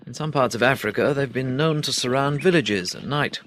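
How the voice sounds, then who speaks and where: An actor playing a shrewd, thoughtful expert with an English accent and a measured, foreboding manner in a classic radio drama. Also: male voice, mid-range voice, a bit bright, a little hiss or hum, natural voice. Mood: ominous. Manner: measured, musing, probing. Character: thoughtful, foreboding male triffid expert, shrewd and matter-of-fact.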